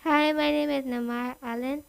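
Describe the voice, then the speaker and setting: A woman's voice: high-pitched, fairly even intonation, moderate pace, creaky, a little hiss or hum. A female event participant making a short, simple public statement.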